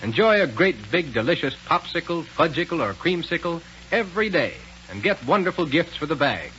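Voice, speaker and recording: A man works as a 1930s radio announcer, selling and narrating with punch. His fairly high voice swings widely in pitch. The old recording is muffled, with some hiss. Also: pushed and bright.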